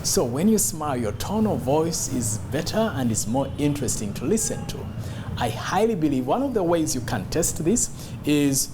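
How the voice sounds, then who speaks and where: A man giving an instructional talk as a public speaking coach, emphatic and deliberate, repeating key words. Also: a little high, sing-song, background noise. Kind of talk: instructional talk to camera on voice modulation.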